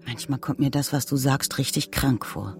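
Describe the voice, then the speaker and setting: An actress playing a weary, impatient, sharp-tongued woman in a radio crime drama, curt and exasperated. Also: extremely low pitch, moderate pace, soft background music.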